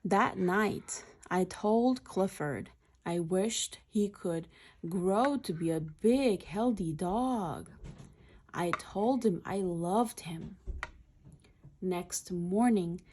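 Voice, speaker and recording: A woman's voice: lowish voice, very slow, a little mellow. A woman reading a children's picture book aloud in short, simple phrases, adding explanatory asides.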